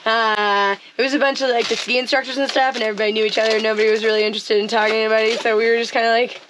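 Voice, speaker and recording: A young woman chatting casually to a handheld camera inside a small camper van, telling the story in an animated way, with music underneath. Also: on the high side, very fast, background noise. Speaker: van life vlogger. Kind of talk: casual vlog chat. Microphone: handheld action camera at arm's length.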